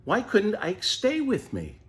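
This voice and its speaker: A man's voice: high voice, huge pitch swings, slow pace, pitched above the performer's own voice, full character voice. A man voicing a sad, earnest elderly male tortoise in a children's storybook. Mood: sad, pleading.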